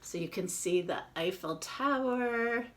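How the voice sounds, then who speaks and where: Lady reading a kids book out loud, slow n really up and down, chatty little asides. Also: medium pitch. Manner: expressive reading, chatty explanations to children. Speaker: children's read-aloud presenter. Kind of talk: picture book read-aloud with casual asides.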